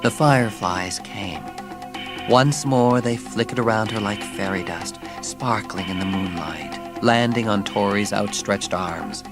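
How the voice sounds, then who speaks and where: Low-voiced male storybook narrator over music. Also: a little slow. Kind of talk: scripted dramatic storybook narration.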